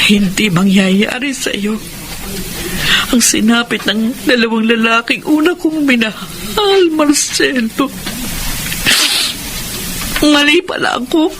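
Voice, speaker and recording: A woman's voice: on the high side, noisy background. An actress playing a middle-aged widowed mother in an emotional Filipino radio drama. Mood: disbelieving, grieving. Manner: emotional, pleading delivery. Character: twice-widowed middle-aged mother, fearful of loving again.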